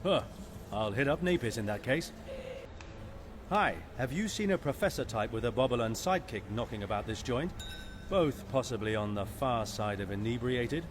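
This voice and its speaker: A man's voice: mid-range voice, lively intonation, normal speed, noisy background, natural voice. A man playing a sardonic, easygoing mercenary pilot in a space video game, with a wry, casual manner. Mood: casual, probing.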